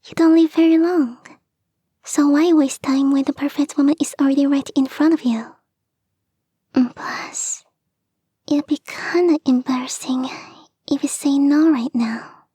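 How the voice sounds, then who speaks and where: A woman voicing a clingy young kitsune fox girl in an intimate ASMR roleplay, soft and breathy, often whispering, coaxing and sweetly insistent, close to the microphone. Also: high-pitched, fairly even intonation, unhurried, long pauses, dry recording. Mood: pleading, affectionate. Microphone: close, dry microphone.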